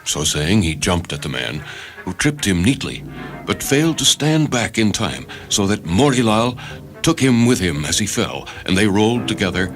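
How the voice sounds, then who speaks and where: Low-pitched man reading fiction over music. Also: very animated, normal speed, fairly bright tone. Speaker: short story reader. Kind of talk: dramatic scripted narration. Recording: music under the voice. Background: background music.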